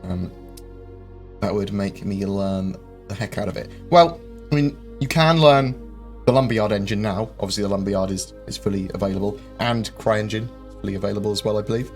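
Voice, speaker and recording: Low-voiced man rambling on stream. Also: vocal fry, a little mellow. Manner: rambling, many ums, quick jokes. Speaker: streamer host answering viewer questions. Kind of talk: live-stream Q&A chat. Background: faint game music.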